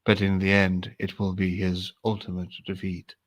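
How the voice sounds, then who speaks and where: A man's voice: low voice, monotone, moderate pace, pitched below the performer's own voice, natural voice. Man doing a cold, calculating admiral. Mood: smug. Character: calculating cold male alien admiral, formal.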